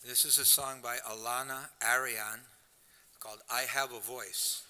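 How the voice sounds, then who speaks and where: A man leading a congregational song, speaking in a relaxed, slightly hesitant way and repeating words, in a synagogue sanctuary. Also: mid-range voice, a little slow. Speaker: song leader. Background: faint guitar.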